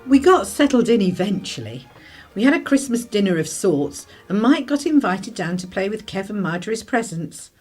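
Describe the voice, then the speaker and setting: A woman playing a sharp, harried English mother of the 1950s, dryly sarcastic, in a radio play. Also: mid-range voice, very animated, normal speed, breathy edge, soft background music. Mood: reflective. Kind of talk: British radio play acting and narration.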